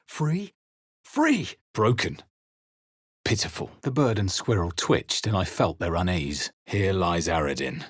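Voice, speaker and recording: A man voicing a grave, wry adventurer hero in a fantasy video game, with measured, theatrical delivery. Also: low voice, very animated, breathy edge, dry recording, clean studio sound, full character voice. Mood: forceful incantation. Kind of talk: dramatic fantasy game narration and character barks.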